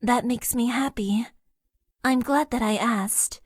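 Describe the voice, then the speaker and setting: A formal, emotionally awkward young mecha-pilot girl for a dating game. She talks earnestly and deadpan in a slightly raised voice, stumbling into nervous stammers. The studio sound is close and dry. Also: a little high, slow, breathy edge, dry recording. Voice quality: stiff, overly formal phrasing. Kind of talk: game voice lines. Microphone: close studio mic, dry.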